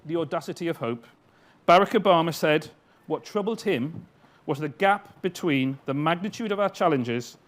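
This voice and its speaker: A man delivering a formal, scripted speech to a summit. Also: on the high side. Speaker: speaker at a summit. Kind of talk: prepared speech.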